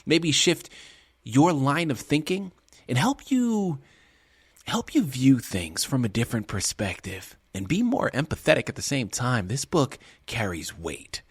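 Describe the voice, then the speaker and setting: An enthusiastic male radio host introduces his guest with easy, conversational energy. His voice sits at a middling pitch, and the recording is dry and close, with no room echo. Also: sing-song, measured pace, slightly rough. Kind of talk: radio interview hosting.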